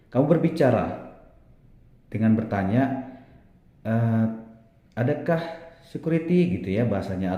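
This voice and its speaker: A man's voice: medium pitch, muffled recording. A man giving an informal technical lesson as a trainer, explaining step by step and often checking in with a tag word. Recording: roomy, echoing sound.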